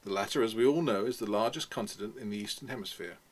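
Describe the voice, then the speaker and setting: A man's voice: on the low side, quick pace, lightly acted. A man voicing a sarcastic old male history teacher, lecturing and mocking, raising his voice for the part. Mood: mocking reading.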